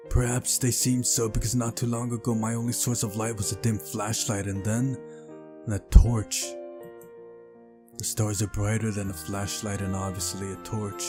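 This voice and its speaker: A man's voice: on the low side, little pitch movement, moderate pace, music in the background. A man reading a visual novel aloud as narration, with casual side comments and an occasional stumble over the text.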